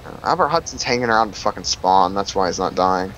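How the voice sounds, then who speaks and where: A man's voice: low voice, lively intonation, fast, a bit bright, a little hiss or hum, rough recording. Joking, foul-mouthed young man in a game. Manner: joking, swears freely, breaks into playful singing. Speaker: co-op player and friend of the host. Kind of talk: casual gaming chatter.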